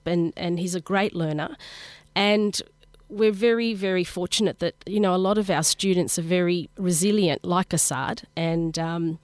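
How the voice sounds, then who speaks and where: Low-voiced woman teacher in interview. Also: no echo. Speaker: college teacher, interview guest. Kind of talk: conversational interview answers. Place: studio.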